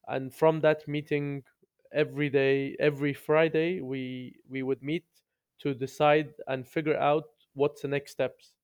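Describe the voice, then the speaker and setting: A man's voice: mid-range voice, a little mellow, clean tone, dull audio. A man, telling his story conversationally as a podcast guest. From London, UK.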